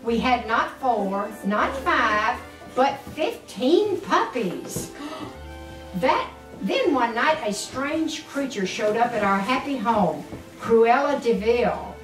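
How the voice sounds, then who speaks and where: An older woman, a grandmother, reads a children's story aloud expressively and deliberately, with doting asides to the children. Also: on the high side, lively intonation. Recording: echoey room, distant mic, music underneath.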